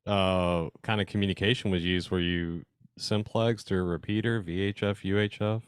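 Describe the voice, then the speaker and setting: A man's voice: deep voice, fairly even intonation, normal speed, clean tone, no room sound, studio quality. A man chatting casually as he responds and asks questions at an amateur radio club meeting.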